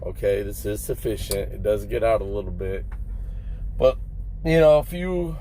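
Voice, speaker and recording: Man vlogging over clear vehicle noise. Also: fairly low pitch, mellow, poor audio. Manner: halting, rhetorical questions and check-ins. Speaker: long-haul truck driver and vlogger. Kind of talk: casual explanatory vlog talk to viewers. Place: inside a parked truck cab.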